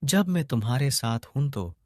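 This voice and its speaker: A man's voice: mid-range voice, expressive, moderate pace, a little mellow, dry recording, studio quality, pitched above the performer's own voice, natural voice. A man voicing a brave, curious young boy in a cartoon story, speaking in a bold and reassuring way.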